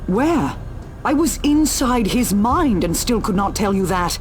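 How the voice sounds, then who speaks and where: A woman's voice: mid-range voice, very animated, a little slow. An actress playing a solemn, vengeful female inquisitor in a video game cutscene. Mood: grave. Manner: solemn, intense. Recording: game cutscene with music behind.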